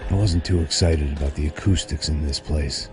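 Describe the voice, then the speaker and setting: A burned-out ex-cop with a very deep, gravelly voice. He talks in a flat, world-weary way, and there is music under the lines. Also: moderate pace. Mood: brooding. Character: weary, bitter male ex-cop, middle-aged. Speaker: lead character and narrator. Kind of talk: hardboiled game narration.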